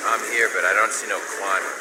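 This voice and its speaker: A man playing a rough, slangy, trigger-happy mercenary in a video game. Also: fairly low pitch, fairly even intonation, fairly quick, lightly acted. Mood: disgruntled. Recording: echoey, loud background noise.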